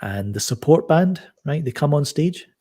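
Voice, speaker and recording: A man talking in a soft voice as a podcast guest, telling a story with many halting restarts. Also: a little high, animated. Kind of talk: casual chat.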